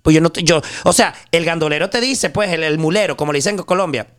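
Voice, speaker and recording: A Venezuelan man telling a story in a casual podcast chat, slangy and animated, often restarting his sentences. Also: a little high, fast, clean tone. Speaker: podcast guest, journalist.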